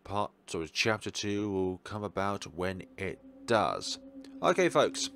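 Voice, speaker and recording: A man with a very low, gravelly voice hosts a let's play. His pitch moves around a lot as he comments in deliberate, halting phrases, with some noise in the recording. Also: fairly bright tone.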